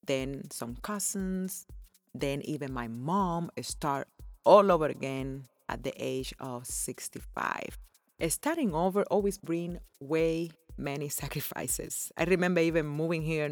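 A woman's voice: deep voice, a little slow, no echo, soft background music. A woman in her forties from Cuba, speaking, hosting her own podcast in an effusive, earnest way and laughing often. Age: 40s. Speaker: podcast host. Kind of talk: personal podcast monologue.

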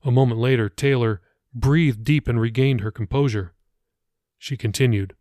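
A man's voice: medium pitch, normal speed, mellow, dry recording. Male audiobook narrator, dry close sound. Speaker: author reading his own novel, podcast host.

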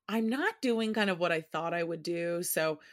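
A woman in her thirties co-hosting a podcast in casual chat from home, chatty and expressive, often laughing. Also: fairly low pitch. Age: 30s. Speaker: podcast co-host.

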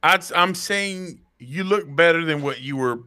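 A man's voice: on the high side, a little slow, bright, forceful tone, clean tone. A man in his thirties co-hosting a podcast, teasing his friends in casual banter. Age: 30s. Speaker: podcast co-host. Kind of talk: casual chat.

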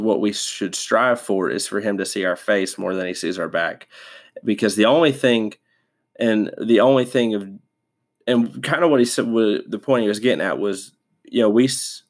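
A man hosting a devotional podcast, stuffed up from a sinus infection, talking casually with many fillers and restarts. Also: fairly low pitch. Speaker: podcast host.

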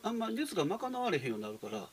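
A man's voice: high voice, big pitch swings, very fast, over a noisy crowd. A man with a Kansai accent bantering casually as a radio panelist.

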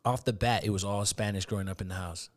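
A man with a fairly low voice hosts a casual interview. He asks his questions in a laid-back, slangy way and reacts often to what his guest says. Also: fairly even intonation, quick pace. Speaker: interviewer host.

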